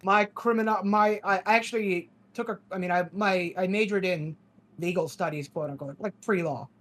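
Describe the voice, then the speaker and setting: A man's voice: high voice, normal speed, fairly bright tone. A man who grew up in Ukraine, a podcast guest in casual chat, rambling and sardonic, laughing often.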